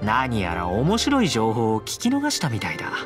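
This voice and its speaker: A man playing a confident, teasing young fighter in an anime game, casual and smooth-talking. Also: lowish voice, wildly swooping pitch, normal speed, over music. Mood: breezy, teasing. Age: young adult. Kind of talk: anime game character acting.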